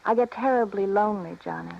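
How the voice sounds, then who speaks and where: Coy radio femme fatale, dull recording. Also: female voice, mid-range voice, quick pace, vocal fry, a little hiss or hum. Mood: coy, lonely. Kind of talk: 1950s radio drama acting.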